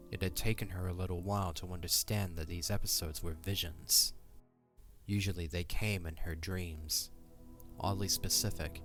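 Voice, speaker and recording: A man narrating a fantasy audio drama from a script. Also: low-pitched.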